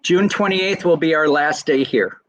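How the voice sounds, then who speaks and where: A man's voice: a little high, moderate pace, a bit bright, dry recording. A Salvation Army officer answering interview questions over a video call, earnest and explanatory, often restarting his words.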